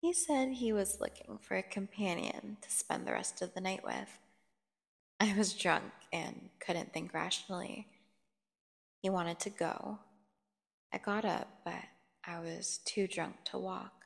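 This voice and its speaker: A woman playing a captive female vampire in an ASMR audio drama, seductive, taunting and menacing, telling her tale with teasing pauses and dropping at times to a near whisper. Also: medium pitch, creaky. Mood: wistful, brooding. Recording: long hall-like reverb.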